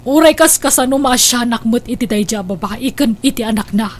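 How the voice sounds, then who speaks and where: A woman's voice: on the high side, a little fast, close to an everyday voice. A woman acting in a Filipino radio drama as a betrayed, embittered wealthy wife, scornful and emphatic. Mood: bitter, accusing.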